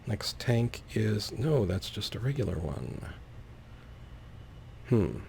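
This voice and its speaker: A man's voice: lowish voice, sing-song, takes it slowly, vocal fry, dark tone, a little hiss or hum. A man giving casual gameplay commentary, thinking aloud in a whimsical, musing way. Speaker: let's player, host.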